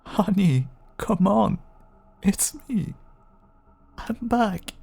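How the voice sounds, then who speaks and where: A man playing a gentle, apologetic, seemingly cured vampire husband in an audio roleplay, pleading tenderly in a soft, breathy, stammering voice. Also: sits high, very slow, heavily acted. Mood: desperate pleading. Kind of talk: intimate audio roleplay acting.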